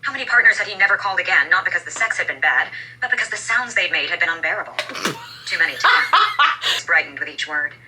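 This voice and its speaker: A woman's voice: on the low side, animated, fast. A woman audiobook narrator reading scripted fiction, heard as played back through a small speaker. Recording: distant noisy playback through small speaker. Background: clear background noise.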